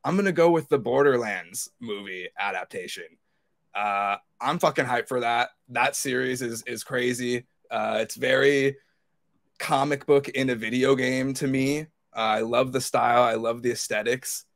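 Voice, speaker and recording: A man's voice: mid-range voice, moderate pace, creaky, a bit bright. A man chatting casually and informally as a podcast panelist, swearing freely. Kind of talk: casual chat.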